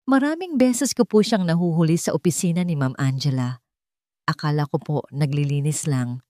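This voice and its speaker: A woman's voice: deep voice, moderate pace, mellow, dry recording, clean studio sound, lightly acted. Woman acting a timid, loyal housemaid. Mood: confiding, regretful. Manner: trembling, deferential, hesitant.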